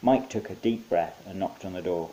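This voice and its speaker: A man's voice: low-pitched, moderate pace, warm tone, low-quality recording. A man narrating his own short story as an author reading from a script.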